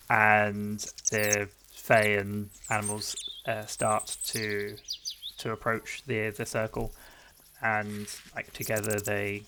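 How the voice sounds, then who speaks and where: A man casually improvises narration as a tabletop game player. His voice is fairly low, creaky and bright, over some background noise.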